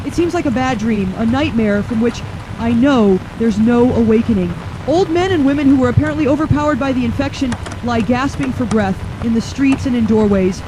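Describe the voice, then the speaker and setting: A woman's voice: fairly high pitch, a little fast, natural voice. Woman acting a shaken radio reporter, very noisy. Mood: grave, shaken. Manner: grave, formal, florid narration. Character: distraught female field reporter amid horrific mass chaos. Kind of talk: dramatic scripted live radio report. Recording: loud outdoor background noise.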